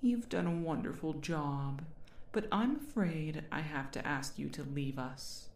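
A woman voicing a haughty demon queen in an ASMR roleplay, imperious and condescending, teasing and menacing her captive in drawn-out, theatrical phrases. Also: low voice, a little mellow, echoey room. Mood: dismissive.

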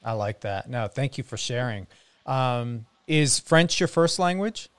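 A man hosting an interview, asking encouraging, unhurried questions. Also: mid-range voice, animated, clean tone, no room sound. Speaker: interview host. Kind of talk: casual interview chat.

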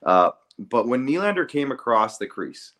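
A man's voice: mid-range voice, sing-song, moderate pace, fairly bright tone. A man co-hosting a sports podcast, casually debating and earnestly repeating himself to press his point.

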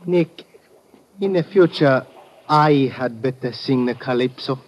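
A man's voice: mid-range voice, unhurried, natural voice. A man playing a mild, friendly sailor sidekick in a 1950s radio adventure drama. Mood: mildly amused. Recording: dull vintage radio recording.

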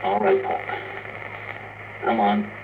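A heavily hissy, thin old radio recording carries a practical male spaceman. He is gruff and leads his crew in clipped 1950s radio drama style. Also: lowish voice, fairly even intonation, slow pace. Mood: urgent, practical. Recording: noisy, tinny old radio recording, distant.

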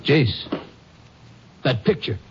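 An American actor playing a plain-spoken, folksy Ranger sidekick in a 1950s western radio drama. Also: male voice, mid-range voice, expressive, slow pace, noisy background. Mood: serious. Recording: muffled vintage 1950s radio recording, music behind.

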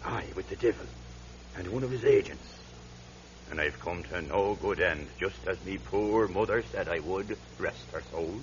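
An actor playing a sickly, guilt-ridden old Irish drinker in a radio drama, halting and lamenting in an Irish accent. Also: male voice, deep voice, natural voice. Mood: grave, confessional. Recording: muffled vintage radio recording, faint hum.

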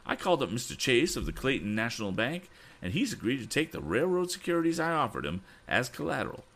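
Man doing an excitable, high-pitched storybook gentleman. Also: fast talker. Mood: excited, triumphant. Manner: breathless, exclamatory. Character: excitable, exuberant older gentleman, given to odd blessings. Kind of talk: audiobook character voice.

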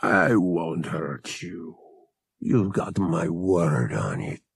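A man's voice: deep voice, sing-song, very slow, gravelly, slightly rough, dry recording, clean studio sound, voice pushed down, full character voice. Man putting on a sly, drawling older captain. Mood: coaxing, soothing. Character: sly, menacing older male captain, coaxing and taunting.

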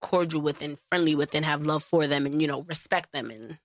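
A woman co-hosting a podcast in casual chat, lively and slangy, laughing often. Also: deep voice, a little fast, dry recording, studio quality. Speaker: podcast co-host.

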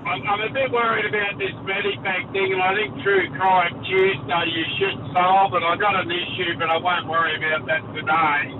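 A man with an Australian accent calling in to a radio show, rambling and halting as he jokes. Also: high-pitched, level tone, phone-quality sound, noisy background. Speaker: caller. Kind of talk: call-in radio chat.

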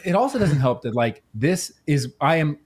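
A man co-hosting a casual podcast chat, jokey and quick to laugh. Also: a little high, slightly rough. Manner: laughs often, animated and jokey. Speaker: podcast co-host.

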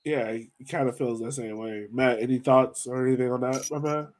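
A man's voice: medium pitch, steady tone, a little fast, a little mellow. A man hosting a sports podcast, talking in an informal, loosely phrased and slangy way. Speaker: podcast host. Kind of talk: casual soccer podcast discussion.

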